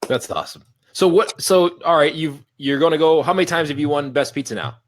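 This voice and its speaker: A man hosting a casual interview show, asking eager questions and reacting quickly. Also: on the high side. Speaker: show host.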